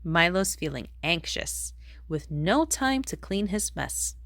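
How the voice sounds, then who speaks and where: Slow, animated woman reading a storybook. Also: lowish voice, no room sound. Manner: expressive, deliberate storytelling for young children. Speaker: children's book reader. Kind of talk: read-aloud storybook narration in rhyme.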